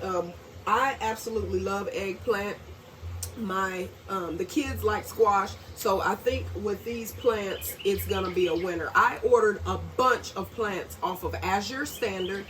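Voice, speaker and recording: A woman, a bit congested and nasal, chatting casually to camera in a rambling, friendly way. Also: medium pitch. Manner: chatty, rambling, warm asides. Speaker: homesteading vlogger. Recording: distant mic, faint background noise.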